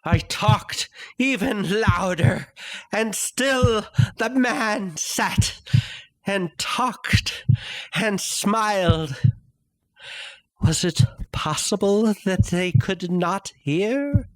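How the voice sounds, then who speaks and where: A man playing a guilt-ridden, mad murderer in a dramatic gothic horror reading, speaking in a halting, theatrical way. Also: sits high, expressive, dry recording, full character voice, rough recording. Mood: mounting panic. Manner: halting, theatrical, hushed to crying out. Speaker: narrator.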